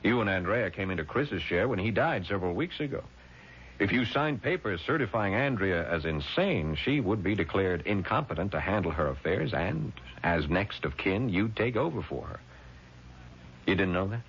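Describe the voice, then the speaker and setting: An actor playing a calm, probing family lawyer in a 1970s radio drama, measured, questioning and matter-of-fact. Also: male voice, sits low, slightly rough, heavily acted. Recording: dull, noisy vintage radio recording.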